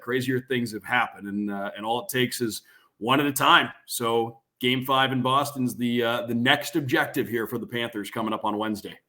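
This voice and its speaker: A man's voice: medium pitch, bright, forceful tone. A man who works as a radio play-by-play announcer, giving conversational sports analysis as a podcast guest.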